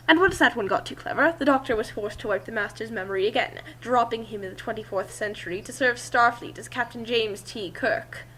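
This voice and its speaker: Casting: high-voiced female story reader, theatrical with offhand commentary, slightly noisy home recording. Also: fairly bright tone. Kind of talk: animated story reading with casual asides.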